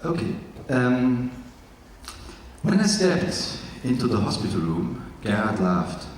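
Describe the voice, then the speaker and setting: A man from East Germany with a German accent, reading from his memoir in careful, slightly halting English with jokey asides. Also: medium pitch, very animated, slight background noise. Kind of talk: live literary reading. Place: large event hall. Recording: echoey hall from far off.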